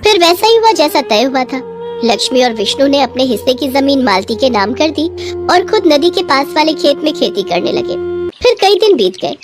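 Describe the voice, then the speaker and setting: A woman's voice: sits high, sing-song, moderate pace, music in the background. A woman narrator reading a scripted moral story.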